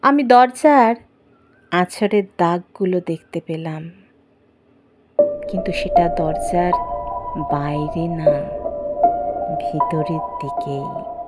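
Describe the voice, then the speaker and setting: A woman narrating a horror story in the first person, theatrical and suspenseful, with drawn-out pauses. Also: lowish voice. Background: background music.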